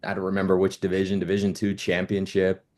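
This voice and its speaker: A man's voice: low-pitched, completely flat delivery, fast talker, a little mellow. A man from the United States hosting an interview in casual chat, friendly, answering with short agreeing replies. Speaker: podcast host.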